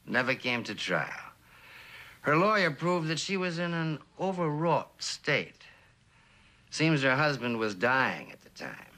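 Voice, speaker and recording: A man playing an earnest, probing police lieutenant in a mid-century TV drama, speaking in a measured, questioning way. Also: fairly high pitch, big pitch swings, sharp tone, exaggerated voice.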